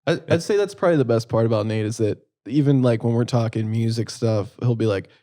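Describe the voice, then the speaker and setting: A man's voice: medium pitch, fast, mellow. A man, a band member, telling stories and laughing in a casual podcast chat.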